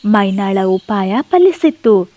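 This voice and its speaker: A woman's voice: medium pitch, big pitch swings, slow, darkish tone, no echo. A woman narrating a scripted bedtime story for children as a storyteller.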